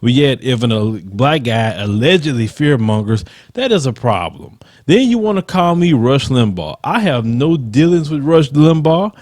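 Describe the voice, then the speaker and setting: A man with a Southern US accent and a faint lisp takes on his detractors one comment at a time, combative and emphatic. His pitch rises and dips animatedly as he presses each point. The sound is dry and close, with no room around it. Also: animated, moderate pace, hardly any pauses, darkish tone, dry recording. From Southern United States. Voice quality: slight lisp. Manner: combative, emphatic, reads comments aloud then rebuts. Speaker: channel host reading troll comments. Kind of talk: casual commentary.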